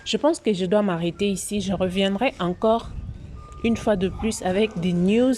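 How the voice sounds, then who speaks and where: A woman delivers a moralizing vlog commentary. She circles back and repeats her points as she lectures. Her voice sits in a middle register, and clear background noise runs under the recording. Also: medium pitch, normal speed, dark tone. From Congo. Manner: preachy and moralizing, repeats herself. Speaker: YouTube channel host.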